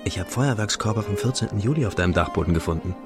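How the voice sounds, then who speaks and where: Casting: male actor as bold teenage farm lad, very quick delivery, lowish voice, radio drama over music. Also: lively intonation, close to an everyday voice. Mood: bold, eager. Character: tall bold farm-bred schoolboy of about seventeen. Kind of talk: German radio drama acting.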